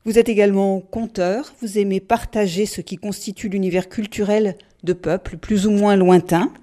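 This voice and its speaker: A woman hosting a radio interview, reading a prepared introduction of her guest in a measured way. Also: medium pitch, fairly even intonation, dark tone. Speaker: radio host.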